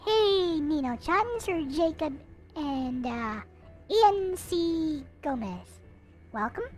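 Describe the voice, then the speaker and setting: High-pitched, slow-talking woman streamer. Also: expressive, darkish tone, soft background music. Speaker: live stream host. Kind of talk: casual live stream chat.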